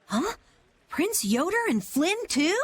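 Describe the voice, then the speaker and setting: A woman voicing an eager, excitable youngster in a video game. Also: high voice, takes it slowly, full character voice.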